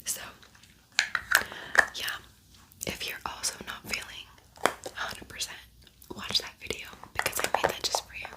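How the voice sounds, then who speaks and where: Slow, whispering woman doing ASMR. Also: poor audio. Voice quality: soft breathy whisper. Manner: slow, lingering, repeats words. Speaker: ASMR host doing a makeup tutorial.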